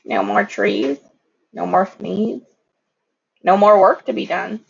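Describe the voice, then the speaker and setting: A reader giving an expressive, dramatic read-aloud of a rhyming story, now and then stumbling over words. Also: mid-range voice, slow, gravelly, slightly rough, muffled recording.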